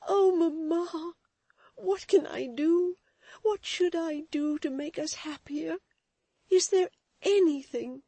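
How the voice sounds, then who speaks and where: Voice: sits high, slow, warm tone, no room sound, pitched above the performer's own voice. A reader voicing a frightened, tender young daughter, pleading and near tears. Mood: tearful pleading. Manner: pleading, tearful. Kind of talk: audiobook reading.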